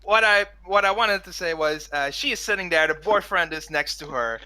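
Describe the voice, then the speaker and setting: A man chats casually as a podcast co-host in a very bright, tense voice pitched a bit high. He tells joking anecdotes and starts and restarts his phrases haltingly. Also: animated. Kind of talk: casual group chat.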